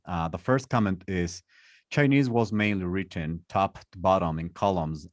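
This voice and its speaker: Deep voiced guy moderating q and a, reading comments out, dry close sound. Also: no echo. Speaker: session moderator. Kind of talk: moderating a question session.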